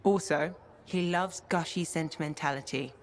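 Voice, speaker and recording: An actress playing a bookish, opinionated and dry-witted code-breaker in a video game. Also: female voice, low voice, moderate pace, a little hiss or hum. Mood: opinionated, playful. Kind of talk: video game dialogue acting.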